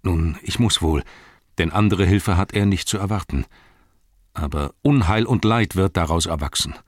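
A man voicing a grave, weary old wizard in a fantasy audiobook, speaking slowly and with weight. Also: deep voice, no echo, clean studio sound. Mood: heavy resolve. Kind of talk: fantasy audiobook character reading.

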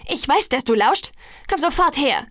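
A woman's voice: a little high, expressive, brisk, gravelly, no echo, studio quality. Woman voicing reluctant, exasperated teenage girl. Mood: angry. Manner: protesting, exasperated. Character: reluctant, exasperated teenage bride-to-be, defensive and earnest.

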